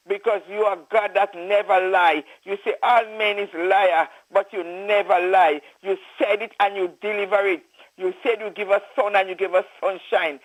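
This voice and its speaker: A man's voice: sits high, poor audio. A man leading a fervent spoken prayer, calling out "oh God, Father" between his phrases. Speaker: prayer leader.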